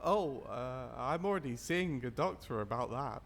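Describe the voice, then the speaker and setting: A man's voice: medium pitch, animated, normal speed, fairly bright tone. A man voicing a dim, literal-minded sheriff in a comic puppet musical, oblivious and silly in manner.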